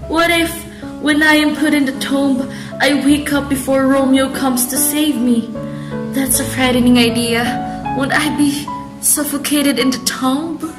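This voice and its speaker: A young woman plays a dutiful but troubled daughter in an amateur radio drama. Her voice is fairly high, with a strong room echo and music playing underneath. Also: fairly even intonation, a little slow. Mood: fearful, desperate. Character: troubled young daughter, outwardly obedient, inwardly fearful. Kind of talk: student radio drama acting.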